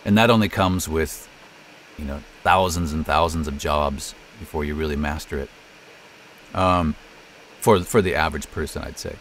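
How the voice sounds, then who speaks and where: A man's voice: very deep voice, moderate pace, fairly bright tone, slight background noise. A middle-aged American man chatting casually in an interview as a voice actor and narrator. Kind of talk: casual interview, telling career story.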